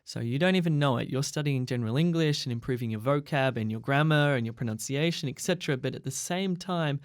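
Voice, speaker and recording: Male podcast host, mid-pitched, keen and chatty, dry close sound. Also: quick pace, no room sound. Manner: enthusiastic, promotional, with many fillers. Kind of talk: casual podcast chat.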